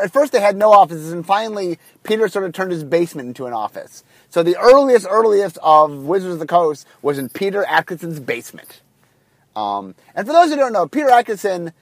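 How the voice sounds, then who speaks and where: A man talking through a cold, his voice husky, rambling along with many ums and false starts. His voice sits fairly high and sounds close and dry. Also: dry recording. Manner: rambling, full of ums and restarts. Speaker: podcast host. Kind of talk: casual solo podcast storytelling. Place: car, recording on the drive to work.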